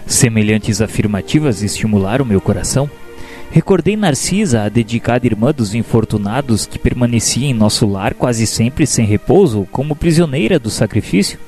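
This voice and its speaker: A man playing an earnest, humble spirit apprentice who tells his story in solemn, formal, gentle narration for a radio drama. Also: medium pitch, animated, normal speed, lightly acted. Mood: solemn, reflective. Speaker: narrator and protagonist. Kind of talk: dramatized first-person scripted narration. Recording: soft music behind narration.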